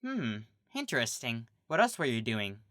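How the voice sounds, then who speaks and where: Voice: medium pitch, huge pitch swings, normal speed, a bit bright, dry recording, clean studio sound. A fan reader voicing a bubbly female earth pony who turns sly and probing, with a sing-song, teasing delivery.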